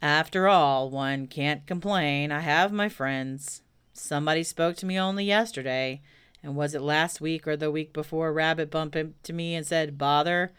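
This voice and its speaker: A woman's voice: sits low, moderate pace, fairly bright tone, clear, resonant tone, no room sound. A woman voicing a gloomy, self-important male donkey in a children's storybook, grumbling through ponderous speeches. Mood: resigned, gloomy.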